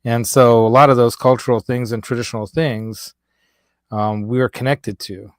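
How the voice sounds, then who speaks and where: A man's voice: medium pitch, fairly even intonation, moderate pace, dry recording. A man with a slight Hungarian accent and Hungarian as his first language, hosting a casual chat show, rambling and laughing easily. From United States. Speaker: host.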